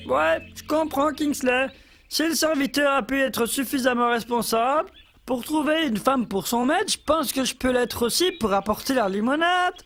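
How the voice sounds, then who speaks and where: Earnest, very high-voiced cartoon child. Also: female voice, normal speed, faint music behind. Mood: eager. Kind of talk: dubbed children's cartoon acting.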